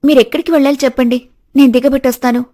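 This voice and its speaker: A woman voicing an eager, helpful and chatty young woman in an animated story. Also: sits high, racing, pitched above the performer's own voice. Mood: bright, friendly. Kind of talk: animated moral story dialogue.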